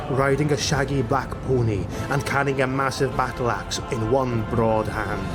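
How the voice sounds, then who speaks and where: Male fantasy audiobook narrator over music. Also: medium pitch. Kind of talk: scripted dramatic fantasy narration. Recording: music bed behind the voice.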